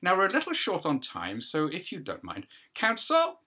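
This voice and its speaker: A man voicing a fussy, patronising judge in a stage play, brisk and condescending with false geniality. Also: high-pitched, huge pitch swings, sharp tone, slightly rough, voice pushed up, full character voice. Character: fussy, patronising male judge at party hearing.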